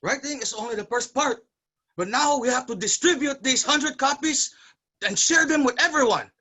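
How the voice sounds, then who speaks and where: An actor playing an earnest, impassioned Filipino labor organizer in a staged reading, declaiming to fellow workers. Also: male voice, extremely high pitch, moderate pace, bright, forceful tone, heavily acted. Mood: earnest, determined.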